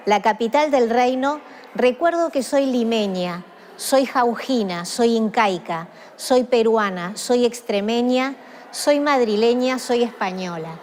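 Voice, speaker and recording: A woman's voice: a little high, a little mellow, slight background noise. Woman hosting a book show. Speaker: host and interviewer. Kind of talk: casual chat and interview. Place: museum.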